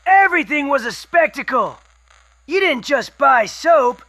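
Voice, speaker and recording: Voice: high voice, unhurried, heavily acted. A performer playing an enthusiastic radio DJ who loves pre-war junk in a wasteland radio drama. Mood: excited. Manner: enthusiastic, animated.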